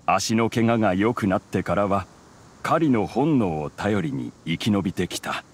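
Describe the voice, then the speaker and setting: Low-voiced, gentle solemn father. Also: expressive, slightly rough. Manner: grave, measured, tender. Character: gentle, solemn father, a hunter with lost memory. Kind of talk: anime-style game dialogue.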